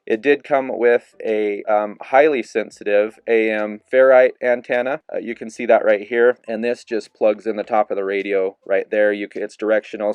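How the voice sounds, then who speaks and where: A man reviewing a handheld radio in a relaxed, easygoing way, demonstrating its features as he talks. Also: fairly low pitch, clear, resonant tone, no room sound. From Idaho. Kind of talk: casual product review.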